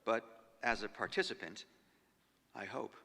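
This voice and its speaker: A man reads diary entries aloud on stage in a slow, expressive way, letting phrases fade almost to a whisper. His voice is bright and edged with fry. The sound rings with the long reverb of a large hall. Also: lowish voice. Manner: measured and expressive, dropping to near-whispers on trailing phrases. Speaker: actor giving a stage reading. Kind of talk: dramatic literary reading of diary entries.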